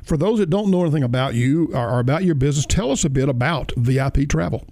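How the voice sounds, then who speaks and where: A man's voice: fairly high pitch, animated, fast talker, warm tone. A man hosting a radio interview, talking casually and often stammering and repeating words. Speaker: radio show host.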